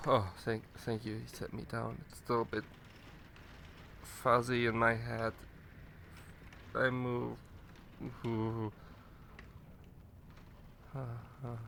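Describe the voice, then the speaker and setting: A man's voice: mid-range voice, creaky, a bit bright. A man playing a shy, clueless young catboy pet in a roleplay audio drama, hesitant and stammering as he talks to the listener. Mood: woozy, bashful. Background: ambient noise, rain.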